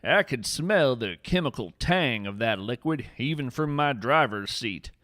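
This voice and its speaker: A man's voice: mid-range voice, expressive, unhurried, a bit bright, no room sound, studio quality. A man narrating a scripted story as a podcast host, with a theatrical, poetic and solemn delivery.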